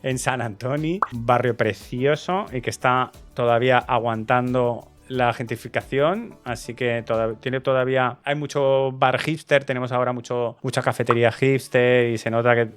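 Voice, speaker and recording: Madrid guy in his 40s rambling through a q&a, clean smooth voice, average pitch. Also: steady tone, normal speed, few pauses, faint music behind. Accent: Madrid Spanish. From Madrid, Spain. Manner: rambling, chatty, with frequent restarts and asides. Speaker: YouTuber answering viewer questions. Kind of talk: casual Q&A chat.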